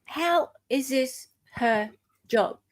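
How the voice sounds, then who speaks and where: A woman's voice: high voice, animated, very slow. A woman with an Australian accent hosting a casual livestream discussion, thinking aloud as she goes. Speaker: host.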